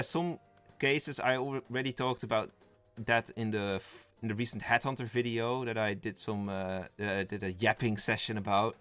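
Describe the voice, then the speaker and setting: A man's voice: mid-range voice, fairly bright tone. A young man, a native Dutch speaker, streaming live in casual chat, rambling and thinking aloud as he reads the chat and lists game roles.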